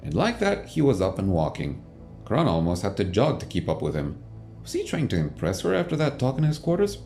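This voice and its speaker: A man's voice: fairly low pitch, huge pitch swings, brisk, creaky, a little mellow. A man narrating a scripted science fiction audio drama as its storyteller. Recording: music bed under the voice.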